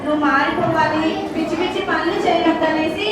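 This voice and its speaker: A woman's voice: very high-pitched, steady tone, fast talker, far from the mic. A woman hosting a children's Bible school puppet show, questioning the puppets and repeating things for the children. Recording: echoey hall from afar, crowd noise.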